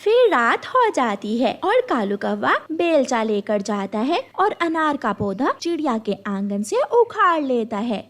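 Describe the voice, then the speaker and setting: A woman's voice: high-pitched, big pitch swings, unhurried, few pauses. A woman narrating a scripted children's moral story as a storyteller.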